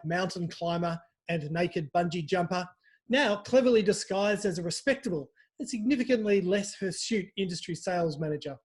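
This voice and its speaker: A man hosting a quick-fire comic interview in a teasing, mock-formal, playful way. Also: sits high, a little mellow. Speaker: interview host.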